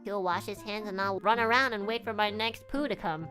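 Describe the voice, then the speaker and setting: A young woman from Canada whose first language is Chinese, telling a crude childhood story in an animated, laughing way as a streamer. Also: medium pitch, fairly quick, faint music behind. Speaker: virtual streamer. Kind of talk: casual storytelling chat.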